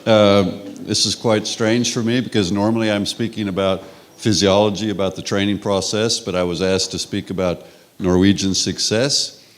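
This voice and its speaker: A middle-aged man from Texas with a Texan accent, giving a relaxed, conversational conference lecture with frequent restarts. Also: lowish voice, moderate pace. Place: conference hall.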